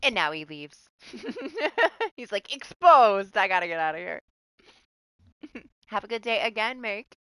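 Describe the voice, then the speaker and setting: A young woman from Texas, chatting casually on a live game stream, laughing often and swearing, with a voice starting to go hoarse. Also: medium pitch, big pitch swings, unhurried, pauses often, fairly bright tone, dry recording. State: starting to lose her voice. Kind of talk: casual live-stream chat while playing.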